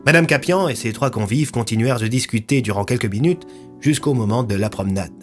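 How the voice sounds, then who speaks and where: A man narrates a scripted audio fiction. His voice sits at a middle pitch and has a storytelling delivery, with music underneath. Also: fairly quick.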